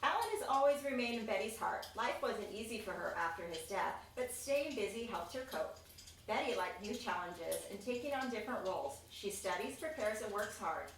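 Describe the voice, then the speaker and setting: A woman reading a children's picture book aloud, expressive and chatty, with casual asides about the pictures. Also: mid-range voice, moderate pace. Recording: echoey room, distant mic, faint noise.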